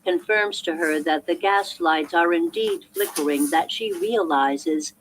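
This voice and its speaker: A woman reading a self-help audiobook in a measured, clearly articulated and emphatic way. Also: low-pitched, a little hiss or hum, poor audio. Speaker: audiobook narrator.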